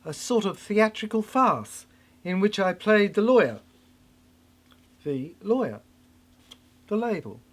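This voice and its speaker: An older person delivering a rambling, cryptic monologue in halting fragments, repeating phrases for emphasis. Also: mid-range voice, big pitch swings.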